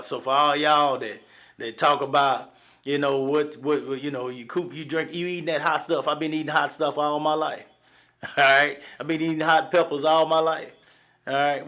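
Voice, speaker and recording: Slow, halting Southern man in his forties. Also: a little high, fairly bright tone. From East Texas, USA. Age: 40s. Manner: halting, many restarts and fillers, then reflective and folksy. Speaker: livestream host. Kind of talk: personal storytelling on a live show.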